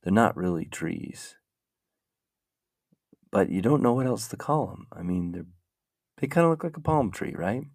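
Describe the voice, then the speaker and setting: A man's voice: lowish voice, animated, moderate pace, gravelly, long pauses, a little mellow, no room sound, studio quality. A man hosting a casual talk show, musing aloud in a meandering way as he browses the web. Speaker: podcast host. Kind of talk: casual rambling chat.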